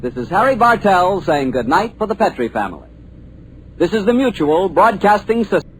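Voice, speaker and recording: A man announcing a 1940s radio show in a polished, smooth delivery. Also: high voice, very animated, normal speed, background noise.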